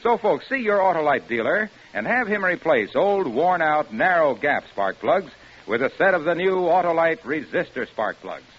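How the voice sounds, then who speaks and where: A man's voice: on the high side, very animated, moderate pace. A man announcing a 1950s radio commercial with an emphatic sales delivery. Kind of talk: 1950s radio advertising pitch. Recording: muffled vintage radio recording.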